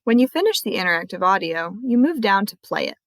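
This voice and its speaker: A woman's voice: mid-range voice, sing-song, fast, no echo. Dry-voiced woman librarian explaining. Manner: friendly, clear explainer. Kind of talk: screen-recorded tutorial walkthrough.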